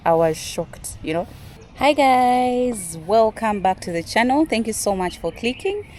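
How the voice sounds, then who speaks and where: A young Ugandan woman with a Ugandan accent, chatting excitedly to her viewers in a casual outdoor vlog from a garden. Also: on the high side, unhurried, a little hiss or hum. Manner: excitable, chatty, addresses viewers as "you guys". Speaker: vlogger. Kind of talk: casual vlog talk to camera.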